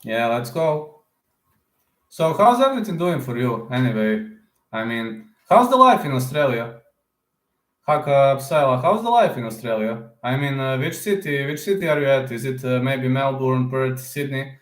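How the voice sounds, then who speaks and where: A man's voice: mid-range voice, expressive, moderate pace, roomy sound. A young man, chatting casually with viewers on a livestream and answering their questions, often repeating his phrases. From Serbia. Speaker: livestream host.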